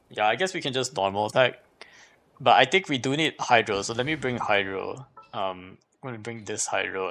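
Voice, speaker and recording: Creaky-voiced man casually streaming a game. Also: on the low side, fairly bright tone. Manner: thinks aloud, repeating himself and questioning. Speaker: game livestreamer. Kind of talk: casual live gameplay commentary.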